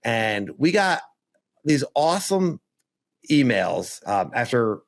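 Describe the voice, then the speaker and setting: A man's voice: mid-range voice, big pitch swings, slow, vocal fry. A talkative podcast host with a Philadelphia accent, chatting in an upbeat, easygoing way. He is a man with a mid-range voice who laughs easily. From Philadelphia suburbs, USA. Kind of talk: casual chat.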